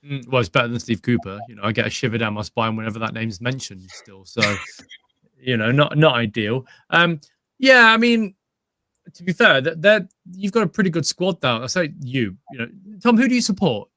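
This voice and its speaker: A man's voice: mid-range voice, animated, moderate pace, bright, forceful tone, no room sound, clean studio sound. A man co-hosting a casual football chat, hesitant with many fillers and restarts. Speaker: co-host, fan pundit.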